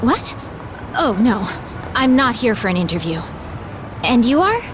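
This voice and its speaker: A woman's voice: on the high side, sing-song, unhurried, noisy background. An actress playing a polite, earnest young woman with a hesitant manner, in an English game dub. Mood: inquiring, surprised.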